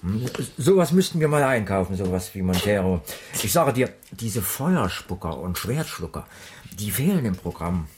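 A man's voice: on the low side, sing-song, moderate pace, darkish tone, slight background noise. An actor playing a talkative, jovial circus man who chats colloquially in radio drama style. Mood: opinionated. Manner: colloquial, jovial, tags sentences with questions. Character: chummy, talkative circus man sharing beer and cigars. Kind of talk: 1980s German radio drama acting.

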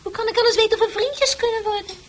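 High, girlish, nosy chatterbox. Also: fast. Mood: eager, coaxing. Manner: excitable, coquettish, prying. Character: chatty, nosy, eager, girlish woman newcomer. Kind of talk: Dutch radio play acting. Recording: noisy old radio drama recording.

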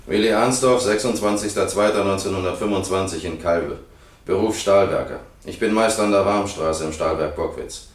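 Distant, low-voiced steel foreman. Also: moderate pace. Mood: dutiful, formal. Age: 40s. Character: middle-aged steel foreman, dutiful lay defender. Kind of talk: radio courtroom drama acting. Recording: echoing room, far from mic.

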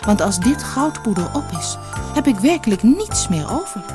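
A woman's voice: on the high side, sing-song, moderate pace, over music, voice pushed up. A woman voicing a worried, reproachful, long-suffering mother in a fairy tale, sighing and exasperated.

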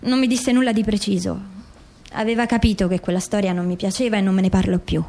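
A woman's voice: medium pitch, fairly even intonation, fast, mellow, natural voice. An actress playing a grieving, insistent mother seeking the truth about her dead son in a radio drama. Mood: uneasy, suspicious.

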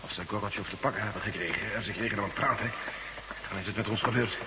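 A man's voice: lowish voice, fast, close to an everyday voice. A man acting as a sober, dutiful, resigned older general in a Dutch radio drama, on a noisy old recording. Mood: grim.

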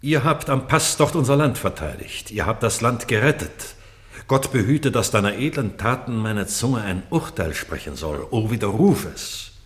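A man's voice: fairly low pitch, big pitch swings, normal speed. An actor playing a stern yet grieving king torn between law and mercy, declaiming solemnly in a classical radio drama. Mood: solemn, pained.

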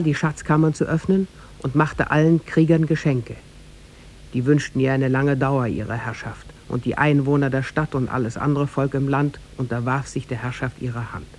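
A man narrating a tale in a 1950s German radio play, formal and measured. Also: medium pitch, darkish tone, slight background noise, close to an everyday voice. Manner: formal, measured storybook delivery.

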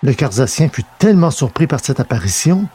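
A man's voice: medium pitch, very animated, fairly quick, dark tone, husky, some chatter behind. A man reading a scripted science fiction adventure as narrator.

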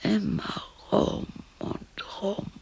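An actress playing a lonely, defiant widow of about sixty in a radio play, muttering to herself in a breathy, husky voice. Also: female voice, very slow, a little mellow, in a whisper. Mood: weary, musing. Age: 60s. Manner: musing, muttering, colloquial. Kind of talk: German radio play monologue.

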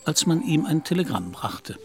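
A man's voice: on the high side, very animated, normal speed, noisy background. A man narrating a literary radio drama in scripted storytelling style.

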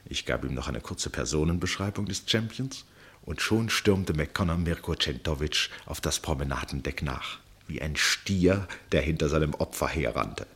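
A cultivated gentleman narrating a radio drama. His voice sits very low with a husky grain. He speaks in measured phrases, with dry irony and an air of detachment. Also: animated, full character voice. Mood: wry, measured. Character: wry, detached gentleman narrator and amateur chess player. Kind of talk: German radio play narration and dialogue.

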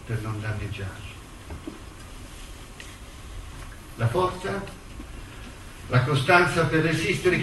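An actor playing a condemned intellectual, tender and reflective, declaiming his monologue with measured phrasing and dramatic pauses. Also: lowish voice. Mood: quiet, reflective. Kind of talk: theatrical stage monologue, declaimed poetry. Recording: roomy, distant stage recording with faint noise.

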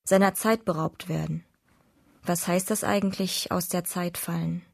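Creaky, insistent questioner. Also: female voice, lowish voice, steady tone, a little slow, no echo, studio quality. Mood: musing, insistent. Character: questioning female chorus voice in a poetic radio play. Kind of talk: poetic radio drama recitation.

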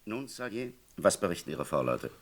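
An actor playing a shrewd, dry, authoritative police commissioner in a radio play, questioning methodically. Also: male voice, low-pitched, big pitch swings, normal speed. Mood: pondering. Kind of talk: German radio play acting.

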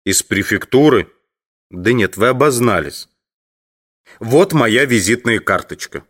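An actor playing a polite, educated teacher who collects insects, speaking courteously and patiently in an audio drama. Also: male voice, mid-range voice, animated, unhurried, a bit bright. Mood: polite, reassuring.

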